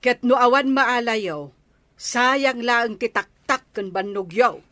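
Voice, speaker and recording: A woman's voice: on the high side, takes it slowly, sharp tone, no room sound. A woman with an Ilocano Filipino accent playing a doubtful, questioning villager in a radio comedy drama. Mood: skeptical.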